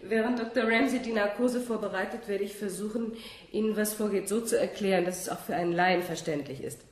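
An actress in a radio play, playing a composed woman scientist who explains things in a measured, slightly condescending way. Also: medium pitch, slight background noise, natural voice. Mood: patient, superior. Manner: didactic, measured, with small rhetorical tags.

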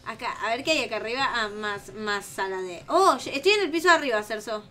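A woman's voice: on the high side, expressive, quick pace, bright, forceful tone. A young adult woman with an Argentine Rioplatense accent chatting casually and playfully as a gaming host, with exclamations and banter. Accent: Argentine Rioplatense Spanish. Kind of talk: casual playful gameplay chat. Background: faint music.

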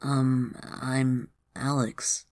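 A woman's voice: very deep voice, flat delivery, extremely slow, darkish tone, full character voice. A woman voicing a timid, confused young male mouse creature with amnesia in a comic dub, hesitant and earnest. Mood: uneasy. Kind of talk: fan comic dub voice acting.

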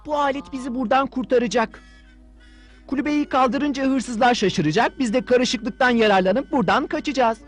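A very high-pitched young boy from a cartoon dub, acted by an adult. He is kind and sensible, an earnest little leader who sounds sure of himself. Faint music plays behind him. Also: moderate pace, natural voice. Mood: confident, planning.

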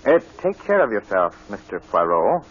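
An actor playing a smooth, falsely polite, menacing major in a vintage radio drama. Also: male voice, fairly low pitch, sing-song, normal speed. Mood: falsely genial. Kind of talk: 1940s radio acting. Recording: dull old radio broadcast.

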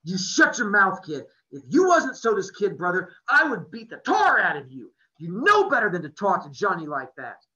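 A man reading aloud, voicing a tough, loud teenage greaser boy with rough slang. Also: high-pitched, wildly swooping pitch, normal speed, few pauses, highs cut off, voice pushed up, full character voice. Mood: rough, scolding. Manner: rough slangy, loudly scolding.

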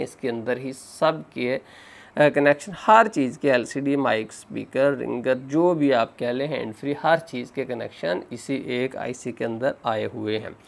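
A man's voice: medium pitch, gravelly. A man narrating a hands-on repair tutorial step by step, often addressing viewers as friends. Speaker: phone repair instructor.

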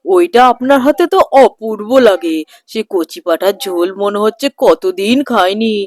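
A woman voicing a gluttonous, flattering young son-in-law in a cartoon, gushing and wheedling. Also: medium pitch, moderate pace, no room sound, studio quality, natural voice. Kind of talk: cartoon voice acting.

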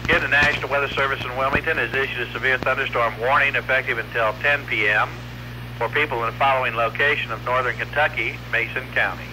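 A male weather radio announcer with a mid-pitched voice reads a scripted severe storm warning. The 1990s broadcast recording has clear noise and hum behind him. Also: fairly even intonation, fast.

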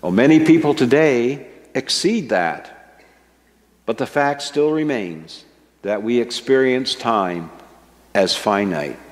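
Slow-speaking older man preaching. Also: medium pitch, expressive. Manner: slow, measured and earnest, stressing key words. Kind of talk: sermon. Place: church sanctuary with a stage, indoors. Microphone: microphone at a wooden pulpit, fixed camera. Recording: long church reverb.

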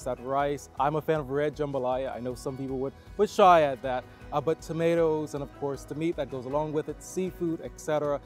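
A young man with a slightly high voice, speaking in a thoughtful, articulate way. He is interviewed outdoors in a garden. Faint music plays under his voice. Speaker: homecoming court nominee.

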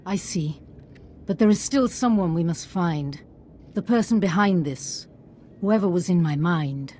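Woman as a mellow, gentle Jedi mentor. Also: lowish voice, measured pace, slight background noise, close to an everyday voice. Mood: calm, earnest. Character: kindly female Jedi master recovering from illness. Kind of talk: video game voice acting.

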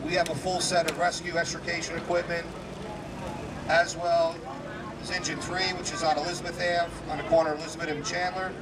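A man, a fire captain giving a live demonstration talk with a strong, loud voice and many fillers. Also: on the high side, little pitch movement, a little fast. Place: outdoor parking lot. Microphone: handheld microphone to a PA. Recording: distant PA voice, loud outdoor noise.

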